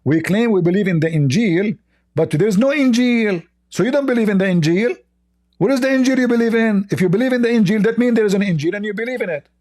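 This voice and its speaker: Insistent debating man with a mellow voice. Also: high voice, animated, fast talker. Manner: combative, insistent, repeats himself to interrupt. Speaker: debate show host. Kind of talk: heated religious debate.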